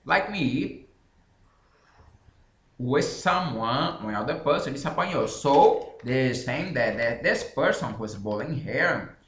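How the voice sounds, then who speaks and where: A Brazilian man whose first language is Portuguese talks in English with a clear Brazilian accent. He speaks very slowly, pausing and restarting his sentences as he searches for words. The room around him gives his voice a noticeable echo. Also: mid-range voice, fairly bright tone. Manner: halting, self-correcting non-native English with frequent pauses. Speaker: independent author. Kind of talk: casual explanatory talk to camera.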